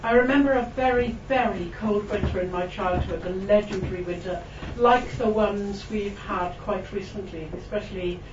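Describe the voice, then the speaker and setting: A Welsh woman poet giving a reading to an audience, chatting between poems with ums and little laughs, then reading her verse slowly. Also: mid-range voice. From Wales. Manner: chatty and self-mocking, with frequent ums and laughs; slow, lingering delivery when reading verse. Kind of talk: poetry reading with chatty asides. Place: room with a live audience. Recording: distant, roomy, dull recording with background noise.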